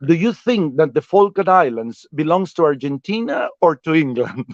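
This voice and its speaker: A man's voice: a little high, expressive, moderate pace, no echo, highs cut off. A Peruvian man speaking English with a Peruvian Spanish accent, casually chatting and reading out viewer comments on a livestream. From Peru. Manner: chatty, laughs often, reads out viewer comments. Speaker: vlogger hosting a livestream.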